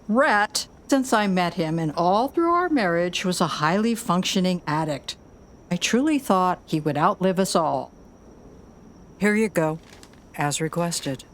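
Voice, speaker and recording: Low-voiced, blunt American female record boss. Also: sing-song, unhurried, slight background noise. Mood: curt, irritated. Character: tough, blunt female record label boss and worried mother. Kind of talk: audio drama dialogue.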